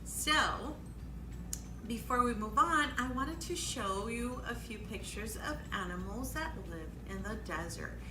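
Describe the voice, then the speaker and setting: Female children's storytime host: very lively intonation, unhurried delivery, encouraging questions, recorded in an echoey room. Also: fairly high pitch. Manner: exclamatory, encouraging, prompting listeners with questions. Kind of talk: children's storytime with action songs and guessing games. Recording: echoey room, faint background noise.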